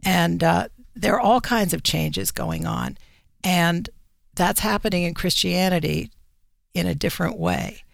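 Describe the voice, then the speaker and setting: A woman speaking as an editor and radio guest, explaining things conversationally in deliberate, measured phrases. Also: fairly low pitch, gravelly, dry recording, studio quality.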